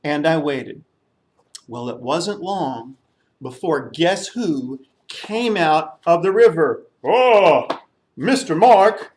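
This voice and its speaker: A man tells a story to young children in an animated, swooping voice. He takes his time, like a puppet show host guiding small listeners along. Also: fairly high pitch, takes it slowly. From United States.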